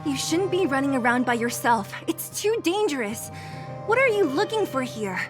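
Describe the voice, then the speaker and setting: Brisk, lively young fighter. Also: female voice, high-pitched. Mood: concerned, firm. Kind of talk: video game voice acting. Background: music.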